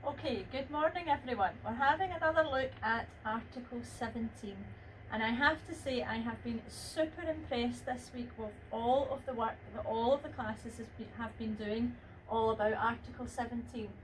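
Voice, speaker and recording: A woman's voice: a little high, normal speed. A woman teacher leading a school assembly, speaking encouragingly to the children. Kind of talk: assembly address to pupils. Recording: distant mic, faint room noise.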